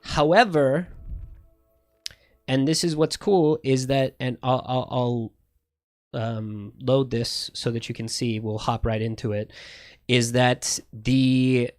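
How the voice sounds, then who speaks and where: A man talks slowly and loosely to his livestream viewers, circling back on his own words and cursing freely. A slight lisp shows in his speech. The sound is close and dry, with faint game music underneath. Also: mid-range voice, steady tone, darkish tone, no echo. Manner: rambling, profane, self-correcting. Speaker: streamer. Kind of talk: casual livestream chat.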